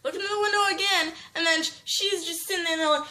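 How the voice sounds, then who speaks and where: A woman telling a story in casual chat, rambling with fillers and restarts and getting animated. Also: very high-pitched, fairly quick. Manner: animated, breaks into sound effects.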